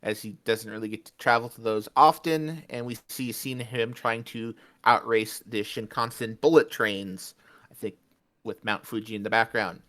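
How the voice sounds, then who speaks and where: A man's voice: lowish voice, fairly even intonation, normal speed, a bit bright. A man co-hosting a podcast, recapping an episode in a chatty, jokey way. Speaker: podcast co-host.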